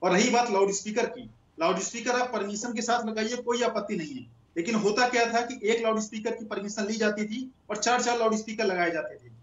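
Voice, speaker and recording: High-voiced man in an echoey room. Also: a little fast, hardly any pauses, highs cut off. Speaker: debate panelist for the ruling party. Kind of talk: TV debate argument.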